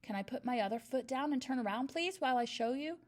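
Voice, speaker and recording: Brief: woman putting on a brave small boy; high, eager, piping voice. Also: animated, quick pace. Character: brave, eager little schoolboy.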